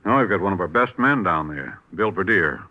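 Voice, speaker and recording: Urgent bureau chief from an old radio spy show, low lively voice, muffled. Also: male voice, fairly quick. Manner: urgent, businesslike. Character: brisk, worried male intelligence bureau chief. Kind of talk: 1950s radio drama acting. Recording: dull old radio recording.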